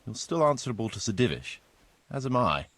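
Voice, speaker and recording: An actor playing a courteous, businesslike medieval village steward in a video game, explaining duties in a measured, formal way with a slightly husky voice. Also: male voice, medium pitch, very animated, fairly bright tone, dry recording. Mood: instructive, firm.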